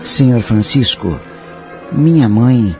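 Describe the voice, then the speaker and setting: A man's voice: mid-range voice, expressive, takes it slowly, a little mellow. A man playing a polite, worried grown son in an audio drama, speaking formally with a Brazilian accent. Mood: courteous. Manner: courteous, formal. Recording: dull lo-fi audio, music behind.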